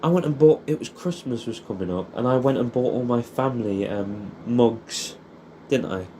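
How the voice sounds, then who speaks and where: A young man with an English accent and a soft, mellow voice reminisces as if to an old mate. He rambles nostalgically, swears freely and keeps ending statements with little questions. There is faint noise behind him. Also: medium pitch, moderate pace. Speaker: audio creator. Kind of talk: casual intimate reminiscing addressed to an old friend.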